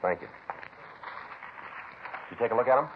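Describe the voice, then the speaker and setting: A man's voice: medium pitch, lively intonation, moderate pace, close to an everyday voice. A man playing a dry, businesslike American police detective sergeant in a 1950s radio drama, speaking in a clipped, matter-of-fact way. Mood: dry, patient. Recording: noisy, muffled old radio broadcast.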